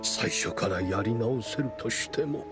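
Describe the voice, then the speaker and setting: A man voicing a solemn, proud and resigned older mentor with measured, formal speech in a dramatic anime game dub. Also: fairly low pitch, lively intonation, over loud music. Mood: solemn resignation.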